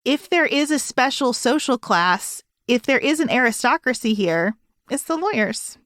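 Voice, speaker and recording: A woman's voice: high-pitched, animated, moderate pace, fairly bright tone, no echo, studio quality. A woman co-hosting a podcast, discussing a book casually in a thoughtful, articulate way. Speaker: podcast co-host.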